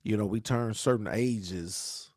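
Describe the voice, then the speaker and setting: A pastor who talks to viewers in a preachy, repeating way and asks "right?" again and again. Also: male voice, lowish voice, slow, darkish tone, no room sound, clean studio sound. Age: 40s. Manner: dialect grammar, says "y'all". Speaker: pastor hosting a grief talk show. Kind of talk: live-stream talk answering viewer questions.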